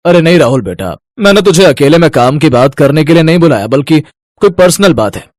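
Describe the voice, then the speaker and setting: An actor playing a genial, plain-spoken older company boss with an avuncular manner in a family storybook tale. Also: male voice, fairly high pitch, big pitch swings, a little fast, darkish tone, no echo, studio quality. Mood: genial, assured.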